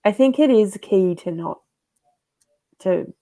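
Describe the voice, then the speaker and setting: A woman's voice: mid-range voice, expressive, takes it slowly, mellow. A middle-aged woman hosting a casual livestream chat, chatty and laughing often as she reads comments aloud. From Australia. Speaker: host.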